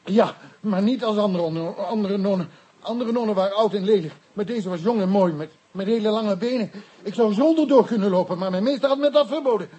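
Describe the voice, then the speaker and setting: A man playing a frightened, naive Pygmy mission convert in a radio play, speaking halting, stammering, broken Dutch with inverted word order. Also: high voice, dark tone, slightly rough. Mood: earnest, confiding.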